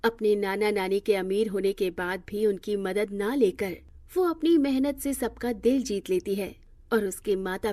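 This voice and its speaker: A woman's voice: mid-range voice, mellow. A woman narrating a scripted moral story as the storyteller.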